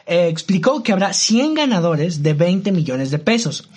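A young man with a Mexican accent talks in a casual, slangy way, with lots of fillers and indignant asides. His voice sits high for a man. He sounds like he is chatting with friends rather than presenting. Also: sing-song, measured pace, darkish tone. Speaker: co-host. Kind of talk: casual chat.

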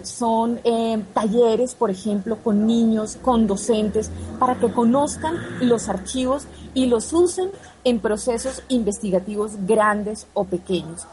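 A woman's voice: medium pitch, darkish tone, slight background noise, poor audio. A Colombian woman answering interview questions in a didactic, explanatory way, laying out her points one by one. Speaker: radio archive representative being interviewed.

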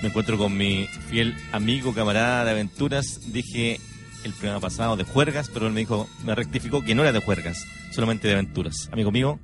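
A man's voice: fairly low pitch, fast. A Chilean man hosting a live radio talk show, effusive and formally friendly in his address. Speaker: radio host. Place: radio studio. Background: background music.

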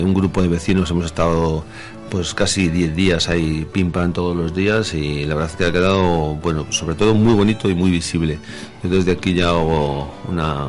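A man from Madrid with a central Spanish accent, speaking enthusiastically as a guest in a conversational radio interview. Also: low voice. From Madrid, Spain. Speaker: guest, village mayor. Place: radio studio.

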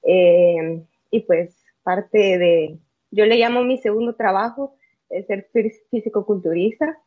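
A woman's voice: medium pitch, phone-quality sound. A woman in her early thirties with a Salvadoran Spanish accent, answering casually as an interview guest, with frequent hesitations. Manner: halting, many hesitations and trailing phrases. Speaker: podcast guest, amateur bodybuilder.

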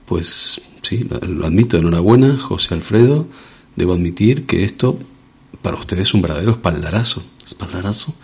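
Brief: male sketch host, husky dark voice, deadpan. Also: moderate pace. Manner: low, dry, patient deadpan. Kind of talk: comedy sketch.

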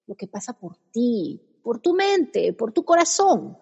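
An actress playing a sensible, patient woman friend in a radio comedy, calm and reasoning with dry irony. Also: on the high side, slow, vocal fry, husky, big-room sound, lo-fi sound, heavily acted. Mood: persuasive.

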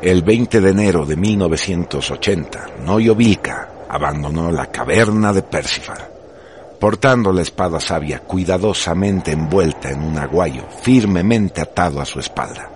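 A man's voice: sits low, moderate pace, slight background noise. A man narrating a radio drama from a script in a grave, declamatory manner. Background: prominent music.

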